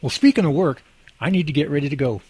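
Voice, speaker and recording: A man's voice: on the high side, fast, dark tone, slightly rough, dry recording. A man acting a cheerful, devout working husband in an amateur radio drama, with a folksy, good-humored manner. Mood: hopeful.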